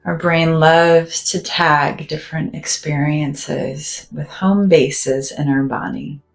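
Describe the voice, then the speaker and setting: Low, slow, soothing woman guiding meditation. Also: steady tone, gravelly, faint music behind. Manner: soft, gentle and soothing, with drawn-out pauses. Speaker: meditation guide. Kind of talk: guided meditation.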